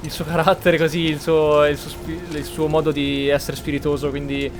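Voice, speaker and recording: A man's voice: fairly high pitch, little pitch movement, fairly quick. A man hosting a let's play, giving casual gameplay commentary in broken-off reactions. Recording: loud game music and dialogue behind.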